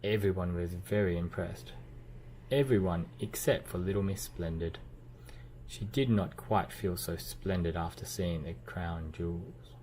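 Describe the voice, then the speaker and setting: A man reading a children's storybook aloud as narrator, with expressive delivery and playful emphasis. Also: deep voice, unhurried, slight background noise, rough recording. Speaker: children's book reader.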